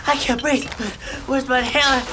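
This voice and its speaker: A woman's voice: high-pitched, flat delivery, normal speed, very noisy, lightly acted. A woman voicing an anxious, melodramatic schoolboy sidekick in an audio drama, whining and exaggerating. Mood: panicky, whining. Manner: whiny, exaggerating.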